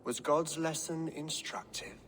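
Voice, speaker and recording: A solemn religious man from medieval times, game acting, super deliberate and slow, stern, slight background noise. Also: on the high side, fairly even intonation, a bit bright. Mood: grave. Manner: grave, deliberate.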